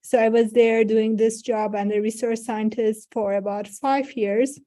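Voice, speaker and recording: A middle-aged woman gives an online conference talk as a professor over a video call. Her voice sits a little high, with a mellow, warm tone. She explains in a friendly way and fills her pauses with short sounds. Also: flat delivery. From Turkey. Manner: friendly, explanatory, many fillers. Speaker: professor giving an invited talk.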